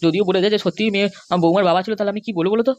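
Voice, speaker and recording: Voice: mid-range voice, fairly even intonation, rapid-fire, darkish tone, no room sound, natural voice. A performer voicing a compliant but grumbling, worried Bengali husband and father in a comedy cartoon, with a placating, hesitant manner. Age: middle-aged. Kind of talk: animated comedy cartoon dialogue.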